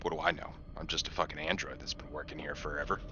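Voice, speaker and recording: A man with an extremely deep voice and a hard, forceful edge plays a tabletop roleplaying game. He talks in a halting way over background noise. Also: little pitch movement, dull audio. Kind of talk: in-character game table chat. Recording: clear background noise and ambience.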